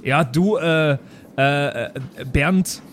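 A man's voice: fairly high pitch, very slow. A man voicing a patient but muddled schoolteacher, explaining and questioning hesitantly. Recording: faint background noise.

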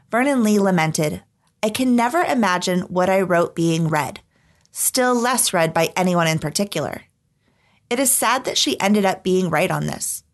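A woman reading scripted documentary podcast narration in an articulate, measured storytelling manner. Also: medium pitch.

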